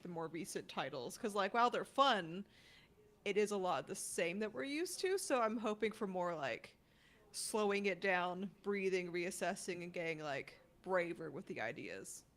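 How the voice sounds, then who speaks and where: A woman's voice: fairly low pitch, a bit bright. A woman chatting informally and reflectively as a panelist. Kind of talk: casual chat.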